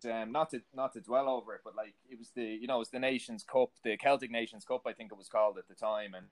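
A man's voice: medium pitch, steady tone, fast talker. A man hosting an interview, asking long, rambling questions full of fillers like "you know" and "kind of". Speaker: podcast host, interviewer.

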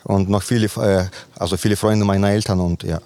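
A man from Ukraine, a volunteer helper, answering interview questions in a halting way with many fillers and restarts. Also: lowish voice, fairly even intonation, a little mellow, clean tone, no echo.

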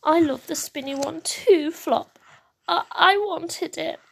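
A woman voicing an excitable, wistful little boy bunny in a storybook, childlike, hesitant and earnest. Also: extremely high pitch, slow pace, creaky, voice pushed up, exaggerated voice. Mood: reluctant.

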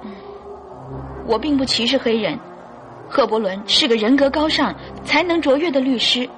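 A woman's voice: high voice, normal speed, a bit bright, faint music behind. An actress playing an earnest, devoted mother and wife, pleading yet resolute, in classic Mandarin radio drama style. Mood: composed, candid.

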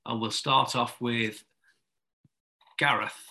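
Bright-voiced male webinar host. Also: medium pitch, steady tone, slow pace, creaky. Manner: friendly, joking, loosely phrased. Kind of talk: hosting a webinar panel. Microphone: video call.

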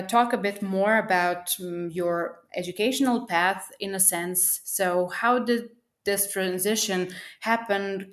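Young woman hosting a podcast interview. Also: medium pitch. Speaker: podcast host.

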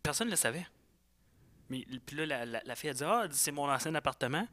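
A man with a Quebec French accent in a casual chat, telling anecdotes in an animated, slangy way peppered with swearing. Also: fairly high pitch, fast, dry recording. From Quebec, Canada.

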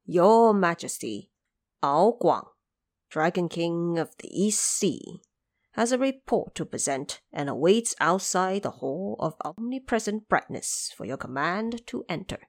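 A woman voicing a formal, deferential court official with a ceremonial, drawn-out delivery in an audio drama. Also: lowish voice, expressive. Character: formal court official announcing petitioners to the emperor.

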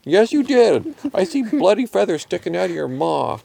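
A man puts on a pushy, greedy storybook tomcat. He grumbles and insists in an excitable way, with a raised pitch that leaps about. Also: normal speed, creaky, no echo, exaggerated voice. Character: pushy, greedy, grumbling male stray cat Randy.

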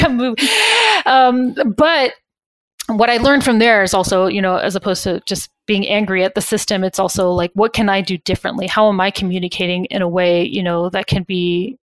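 A woman's voice: medium pitch, no room sound. A woman talks through her experiences in a conversational interview, candid and reflective. Her speech is full of fillers and restarts. The sound is dry and close, with little room. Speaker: guest.

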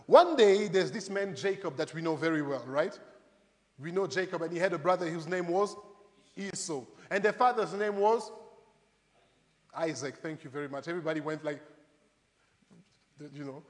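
A man's voice: on the high side, normal speed, long pauses. A man preaching in a conversational way, putting rhetorical questions straight to his congregation and telling Bible stories. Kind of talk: animated sermon with acted-out Bible stories. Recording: reverberant hall, long echo.

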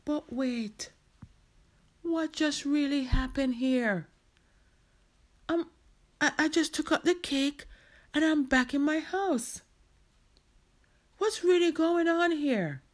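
A woman storyteller voicing an excitable, dramatic Jamaican woman who exclaims and questions herself aloud, with a Jamaican accent. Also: medium pitch, measured pace, slightly rough. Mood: bewildered. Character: excitable Jamaican woman, easily delighted, growing bewildered and panicky. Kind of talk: acted oral storytelling.

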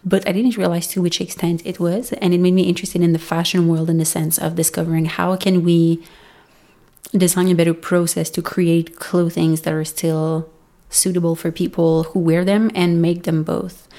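Low gravelly woman with a Québécois accent. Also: little pitch movement, normal speed, dark tone. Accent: Québécois French. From Montreal, Canada. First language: French. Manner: reflective, storytelling. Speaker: podcast guest. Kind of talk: casual interview talk.

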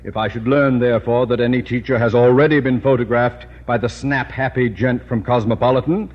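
An actor playing a pompous, tyrannical middle-aged high school principal in radio comedy, speaking in ornate, formal phrases. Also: male voice, fairly even intonation, brisk, lightly acted. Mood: pompous, stern. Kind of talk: 1950s radio comedy acting. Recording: muffled, noisy old radio recording.

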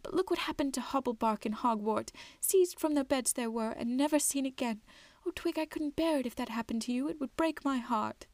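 A woman voicing an anxious, loving wood troll mother in a soft, tremulous, breathy voice for a storybook. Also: high voice, fairly quick, dry recording.

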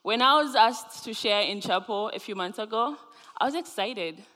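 Young woman from zambia preaching in a big chapel, earnest and warm, lots of echo. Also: a little high, lively intonation, normal speed. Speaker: student chapel speaker. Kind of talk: chapel sermon. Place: large chapel hall. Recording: live chapel hall with long reverb.

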